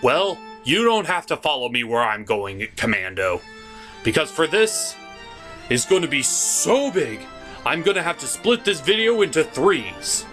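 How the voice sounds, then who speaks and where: Man, comedic review narration, higher-pitched voice, theatrical, slow delivery, dramatic pauses. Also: big pitch swings, fairly bright tone, faint music behind. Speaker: host of a cartoon and anime review show.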